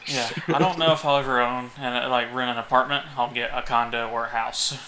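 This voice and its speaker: A man co-hosting a podcast in casual, halting banter, often breaking into chuckles. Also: medium pitch, steady tone, pushed and bright, low-quality recording. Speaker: podcast co-host. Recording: faint background noise.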